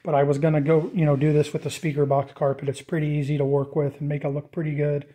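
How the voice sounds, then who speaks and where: Mellow, slightly higher-voiced man thinking aloud. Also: flat delivery, quick pace. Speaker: DIY vlogger presenting a build. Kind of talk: casual off-the-cuff project walkthrough.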